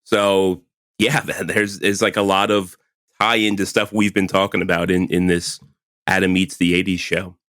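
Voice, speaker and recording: A man's voice: deep voice, flat delivery, normal speed, fairly bright tone, no room sound, studio quality. A man in his forties co-hosting a podcast, chatting casually and laughing often, with lots of "like" fillers. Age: 40s. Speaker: podcast co-host. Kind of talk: casual chat.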